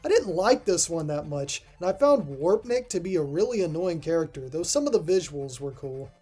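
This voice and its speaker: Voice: mid-range voice, very animated, moderate pace, darkish tone. A host giving casual, scripted review commentary as a voice-over, with dry, offhand asides. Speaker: host of a video ranking cartoon episodes. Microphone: voice-over recording.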